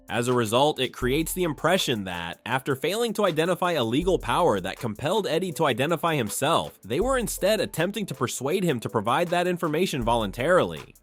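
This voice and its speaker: Lively scripted male narrator over music. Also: on the high side. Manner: measured, explanatory. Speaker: narrator of a breakdown video.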